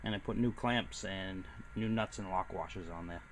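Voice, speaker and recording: A man's voice: low-pitched, normal speed, a little hiss or hum. A man casually narrating a hands-on hobby project in a plainspoken, explanatory way. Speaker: hobbyist presenter. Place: home workshop and outdoor driveway. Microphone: handheld camera, close to speaker.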